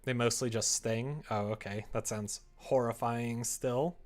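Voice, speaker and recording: A man with a mid-pitched voice chats casually on a livestream while he writes. He thinks out loud, muttering his way through ideas in an informal, unpolished way. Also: steady tone. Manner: thinks aloud, reads typed prose slowly and haltingly. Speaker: streamer writing a visual novel. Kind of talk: casual livestream chat, murmuring draft lines while writing.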